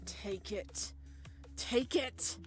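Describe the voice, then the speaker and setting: Woman acting a furious possessed man, high-pitched. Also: extremely slow. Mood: furious, menacing. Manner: forceful, demanding. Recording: game music behind the voice.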